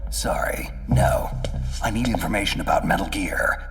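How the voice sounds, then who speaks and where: An actor playing a gruff, cynical infiltration soldier with terse, sardonic delivery in a video game drama. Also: male voice, on the low side, moderate pace, whispered, recorded from a distance, soft background music, natural voice. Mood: guarded, probing. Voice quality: gravelly, breathy undertone.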